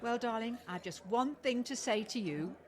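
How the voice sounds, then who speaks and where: Actress doing a knowing, mischievous older gal pal, radio play, bouncy high-ish voice, crowd in back. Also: female voice, expressive, moderate pace, lightly acted. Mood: arch, teasing. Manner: arch, knowing. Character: teasing, worldly middle-aged woman friend, drily mischievous. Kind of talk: radio comedy play acting.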